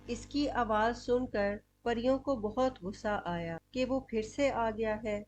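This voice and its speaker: Fairly high-voiced woman narrating a story. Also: measured pace. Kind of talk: scripted cartoon story narration.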